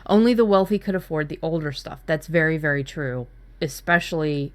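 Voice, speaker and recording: A woman with a slightly low voice co-hosts a writing workshop. She talks in a loose, informal style, recounting her own brainstorming and acting out little asides as she goes. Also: a little fast.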